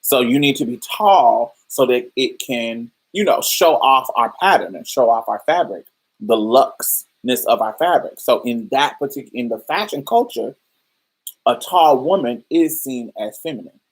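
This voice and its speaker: A woman's voice: extremely low pitch, lively intonation, a little slow, breathy edge, rough recording. A woman hosting a podcast, explaining ideas in a loose, thoughtful way, with many fillers and restarts while talking to listeners. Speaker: podcast host. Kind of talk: casual livestream podcast talk.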